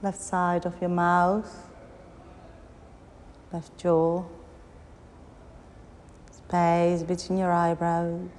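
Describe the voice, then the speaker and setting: Slow-paced woman guiding meditation, long reverb. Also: fairly low pitch, completely flat delivery, darkish tone. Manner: soothing, unhurried instructions with long pauses. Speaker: yoga nidra meditation guide. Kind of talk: guided meditation. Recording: long reverberant hall, background noise.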